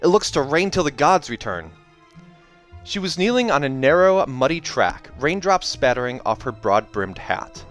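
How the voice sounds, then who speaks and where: A man's voice: mid-range voice, a bit bright. A man hosting in a casual, chatty, rambling way that often corrects itself, as he talks through and reads aloud a book. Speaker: host of a reading series. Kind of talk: casual video commentary and read-aloud. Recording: music behind the voice. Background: soundtrack music.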